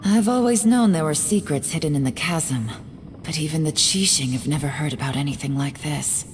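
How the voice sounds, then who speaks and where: A woman playing a secretive, composed young investigator in a video game, speaking in a measured, serious way. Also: low-pitched, a little hiss or hum, lightly acted. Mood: guarded, analytical. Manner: measured, serious, deliberate delivery. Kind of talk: dramatic video game voice acting. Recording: long reverberant hall sound. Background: faint background music.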